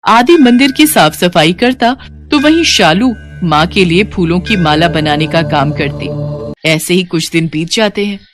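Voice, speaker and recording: लहराते स्वर में कहानी सुनाती महिला. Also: female voice, fairly low pitch, animated, measured pace, music in the background. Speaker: storyteller. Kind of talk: scripted devotional story narration.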